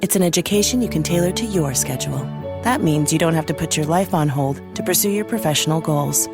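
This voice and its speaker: A woman's voice: low voice, quick pace. A woman announcer reading a scripted advertisement. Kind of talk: scripted ad read. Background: music.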